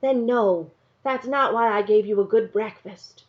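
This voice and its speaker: A woman's voice: on the high side, unhurried, highs cut off, close to an everyday voice. Woman reading as a weary, earnest storybook mother. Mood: weary, firm. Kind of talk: storybook character dialogue.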